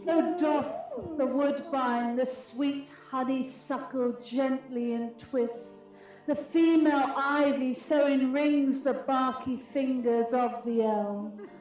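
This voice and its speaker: A woman's voice: high voice, fairly even intonation, slow pace, a little mellow. A woman playing a doting, regal fairy queen in a Shakespeare radio play, languid and lovestruck. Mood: lovestruck, tender. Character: Titania, fairy queen, adoring, besotted and regal. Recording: echoey dull room recording, music behind.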